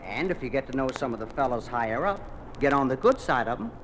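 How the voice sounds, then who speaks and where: Male actor as friendly, persuasive American teen recruiter, very animated delivery, 1950s radio drama with clear background noise. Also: medium pitch, fast, close to an everyday voice. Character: slick high school boy recruiting for a communist youth club. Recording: noisy old 1950s radio recording.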